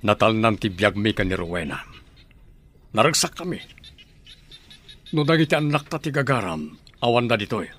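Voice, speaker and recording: Devoted middle-aged Filipino husband. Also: male voice, lowish voice, sing-song, normal speed, pauses often, fairly bright tone. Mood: reassuring. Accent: Filipino, Ilocano. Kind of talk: radio drama acting.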